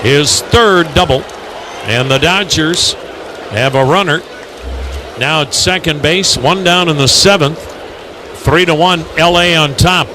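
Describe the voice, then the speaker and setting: An American man calling live baseball on the radio, mixing measured storytelling with clipped pitch calls. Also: music in the background, crowd noise. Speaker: baseball radio play-by-play announcer. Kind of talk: live commentary with conversational asides. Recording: clearly noisy ballpark broadcast.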